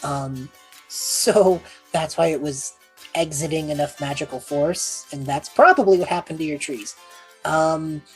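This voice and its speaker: A woman playing an awkward, flippant young male time traveler in a halting, rambling, sheepish way. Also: low-pitched.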